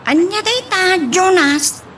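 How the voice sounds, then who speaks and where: An excitable, gossipy housemaid in a radio drama. The voice sits very high and exclaims easily, with clear background noise around it. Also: female voice, slow pace, full character voice. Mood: startled, compliant. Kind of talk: Ilocano radio drama acting.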